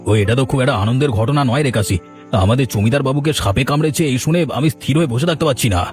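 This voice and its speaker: A man plays an earnest, good-hearted villager in a cartoon. He talks fast in a halting, sincere way at an everyday pitch, with soft music behind him. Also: lightly acted. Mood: earnest concern. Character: earnest, kind-hearted village father and son. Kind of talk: amateur cartoon voice acting.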